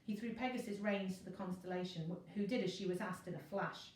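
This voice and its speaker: A woman reads a children's adventure story like a teacher before a class. Her narration is expressive, at a middle pitch. She sounds some way from the mic in a strongly echoing room. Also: a little fast. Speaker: teacher reading a class novel. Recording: very echoey room, distant mic.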